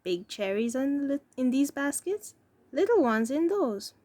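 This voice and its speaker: A woman reading a children's story aloud as narrator, with deliberate, careful phrasing. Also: high-pitched, sing-song, dark tone, dry recording. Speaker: story reader.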